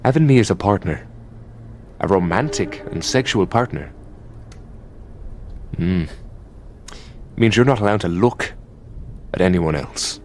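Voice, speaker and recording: Low-voiced, exasperated Irish demon played by a man. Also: animated, a little mellow, slight background noise. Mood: possessive, bargaining. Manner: sardonic, sweary, halting conversational delivery. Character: exasperated, mocking, possessive male elder demon boyfriend. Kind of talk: ASMR roleplay monologue.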